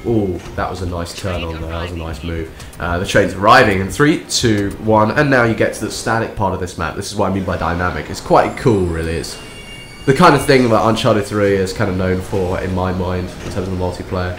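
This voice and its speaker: A young man, croaky and nasal from a cold, rambling through a sarcastic gameplay commentary. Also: deep voice, brisk, a bit bright, slight background noise. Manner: rambling, sarcastic, many restarts. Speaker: gaming commentator. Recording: echoey room with faint game sound.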